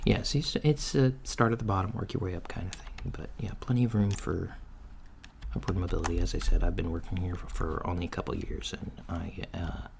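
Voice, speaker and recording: A man playing a mild, matter-of-fact alien floor manager in a soft-spoken, hesitant ASMR job interview roleplay. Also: fairly even intonation, fairly quick, gravelly. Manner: hesitant, low-key, procedural, murmured acknowledgements. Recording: lo-fi with faint background noise. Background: computer keyboard typing and clicks.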